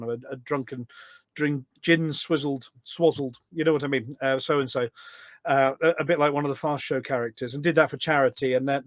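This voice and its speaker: A man's voice: medium pitch, no echo. British man chatting, dry close sound. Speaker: podcast guest, voice pack developer. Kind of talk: casual anecdotal chat. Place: small studio.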